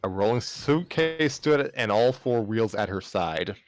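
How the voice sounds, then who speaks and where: A man's voice: lowish voice, animated, measured pace, faint music behind. A man narrating fan fiction aloud as an amateur reader, sometimes stumbling and rereading phrases. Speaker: story narrator.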